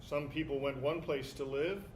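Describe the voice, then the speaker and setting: A man's voice: on the high side, fairly even intonation, normal speed, a little mellow. A man performing on stage as a reader in a literary dramatization. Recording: live stage recording with background noise.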